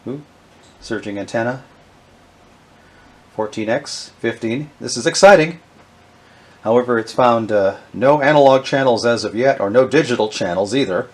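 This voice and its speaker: A middle-aged man, talking casually and haltingly with many fillers as he shows off old electronics. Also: medium pitch. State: has a cold, sniffly. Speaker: vintage electronics video host. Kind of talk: casual show-and-tell narration.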